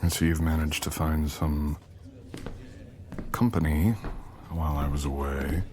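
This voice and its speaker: A man's voice: rumbling low, level tone, measured pace, noisy background. A man playing a powerful, dangerous shadow being, a smug and possessive boyfriend, in an intimate ASMR audio roleplay with dramatic, teasing delivery.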